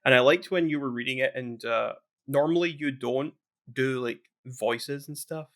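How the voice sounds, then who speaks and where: A man chatting casually on a podcast at a middle pitch. He rambles along with frequent restarts and trailing thoughts. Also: normal speed, a bit bright. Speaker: podcast co-host.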